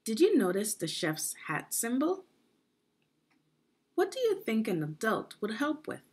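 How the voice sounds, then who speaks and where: A woman reading aloud to children as a teacher would, in a guided lesson style with deliberate phrasing. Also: fairly high pitch, huge pitch swings, husky. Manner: deliberate teacherly phrasing, asks the listener questions. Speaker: education consultant reading aloud.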